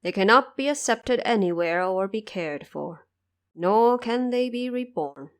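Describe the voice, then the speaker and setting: Woman voicing a slow, solemn underworld judge. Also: mid-range voice, expressive. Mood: solemn, advising. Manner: formal, measured, grave. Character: solemn male underworld judge, courteous but commanding.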